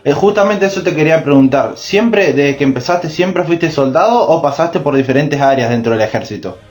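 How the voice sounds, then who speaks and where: A man's voice: on the high side, expressive, brisk, a little mellow. A man with an Argentine accent interviews someone conversationally, asking questions and adding short acknowledgements. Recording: phone interview with music.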